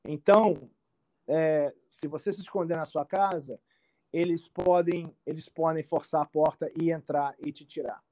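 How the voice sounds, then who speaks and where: A man with a Brazilian accent answering informally as an expert, halting and repetitive, often checking he is understood. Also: a little high, fairly even intonation, a little mellow, no echo. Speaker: immigration expert being interviewed. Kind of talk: informal explanatory interview answers.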